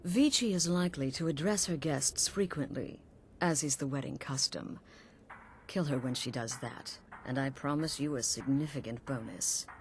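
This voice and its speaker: A woman's voice: a bass voice, normal speed, a little hiss or hum. An actress playing a sly, sultry female leader of assassins in a video game, purring and taunting theatrically. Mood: commanding. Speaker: video game character actor.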